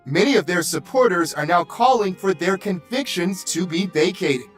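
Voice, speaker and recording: A man's voice: high-pitched, normal speed, soft background music. A man reporting television news in dramatic scripted narration.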